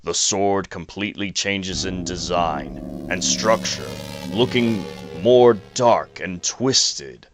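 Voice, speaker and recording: A man's voice: low-pitched, slow, few pauses. A man reading scripted narration for a fan-made audio drama. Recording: noisy home recording with clicks.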